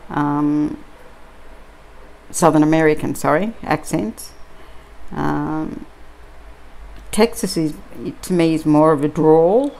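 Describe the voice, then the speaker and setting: A middle-aged Australian woman chatting casually and musing aloud, with many pauses and ums, while she crafts at a table indoors. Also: sits low, vocal fry, darkish tone, low-quality recording. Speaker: crafting vlogger.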